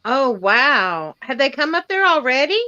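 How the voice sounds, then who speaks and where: A woman's voice: sits high, sing-song, measured pace, pushed and bright, no room sound, highs cut off. A woman in her forties with a Tennessee accent, chatting casually and in a folksy way as a livestream co-host. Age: 40s. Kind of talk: casual chat.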